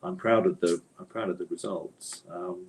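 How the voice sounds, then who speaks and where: Low-voiced man, thoughtful and hesitant interviewee. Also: steady tone, moderate pace, slight background noise, low-quality recording. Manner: thoughtful, hesitant, hedging and circling back to rephrase. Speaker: author being interviewed. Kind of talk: conversational interview answers.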